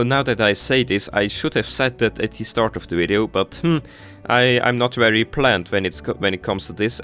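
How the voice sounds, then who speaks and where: Halting young German-speaking guy, faint music. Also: fairly low pitch, a bit bright. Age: teens. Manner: halting, many fillers and restarts. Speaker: city-building game creator. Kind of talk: casual gameplay commentary.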